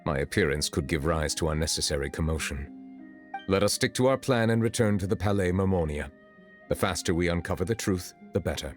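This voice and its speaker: A man voicing a calm, dignified male judge who speaks in a formal, measured way in a game. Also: deep voice, music in the background. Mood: composed.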